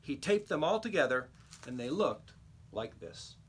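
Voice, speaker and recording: Animated man reading a children's story. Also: on the high side, a little slow, husky. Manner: expressive, emphatic storytelling. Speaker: read-aloud teacher. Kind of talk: expressive children's storybook narration.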